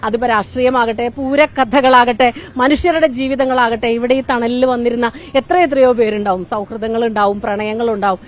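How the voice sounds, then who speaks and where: A woman's voice: on the high side, fairly even intonation, a little fast. A woman, a chatty and inquisitive TV news reporter doing casual street interviews outdoors. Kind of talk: casual on-location vox-pop interviewing and commentary. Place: outdoors under a shade tree at a public ground.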